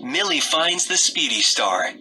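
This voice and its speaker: A man narrating a read-aloud story for young children in short, expressive sentences. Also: a little high, unhurried, music in the background, low-quality recording.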